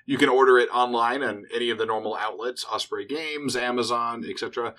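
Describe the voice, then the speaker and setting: Bright-voiced enthusiastic male game designer. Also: a little fast. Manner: enthusiastic, pitching his game. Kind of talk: casual podcast chat.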